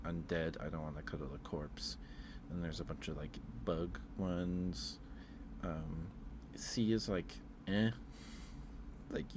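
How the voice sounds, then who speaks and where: A man's voice: low-pitched, background noise. A man chatting casually on an ASMR livestream, rambling hesitantly and repeating his phrases, close to the microphone.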